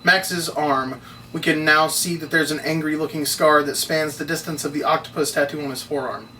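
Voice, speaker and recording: A man's voice: a little high, fairly even intonation, moderate pace, bright, forceful tone. A man reading stage directions as scripted narration at a table read. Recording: distant mic, noisy room ambience.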